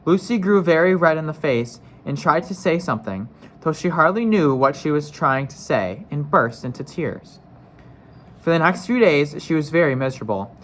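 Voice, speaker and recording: A man's voice: on the high side, expressive, normal speed, a bit bright, a little hiss or hum. Man reading a storybook aloud. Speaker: story reader. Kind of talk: read-aloud storybook narration.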